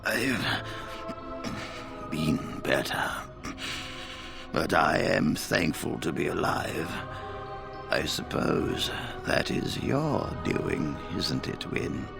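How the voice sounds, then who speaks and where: An actor playing a weary, grumbling but dignified old head mage in a video game, gravelly and breathy at the ends of phrases. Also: male voice, rumbling low, sing-song, extremely slow, pauses often, music in the background, heavily acted. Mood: weary, grateful. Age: older. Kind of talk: dramatic video game acting.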